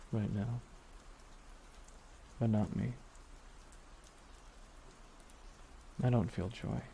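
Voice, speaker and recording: Man playing a subdued, low-voiced regretful supervillain. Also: mellow, a little hiss or hum. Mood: regretful, resigned. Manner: slow, reflective, pausing delivery. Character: weary, regretful male supervillain beside his dying nemesis. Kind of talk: ASMR audio roleplay acting.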